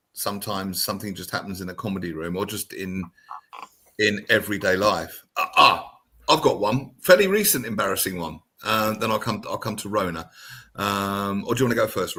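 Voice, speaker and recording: A man hosting a chat show, an older father telling a self-deprecating story in a chatty, relaxed way. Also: on the low side. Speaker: radio show host. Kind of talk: casual chat.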